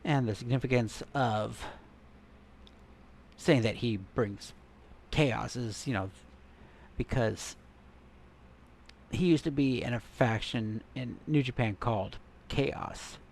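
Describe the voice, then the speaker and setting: A man's voice: mid-range voice, slow, creaky, pauses often, darkish tone, slight background noise. A man casually commenting while browsing articles and images online, musing and trailing off as he searches. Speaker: gaming content creator.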